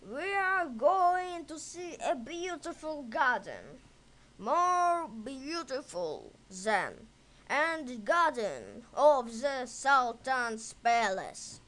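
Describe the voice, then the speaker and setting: A woman voicing a persuasive storybook uncle, a male villain, in measured, emphatic phrases. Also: high voice, big pitch swings, a bit bright, exaggerated voice, poor audio. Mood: coaxing. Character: smooth-talking, coaxing adult uncle, male storybook villain.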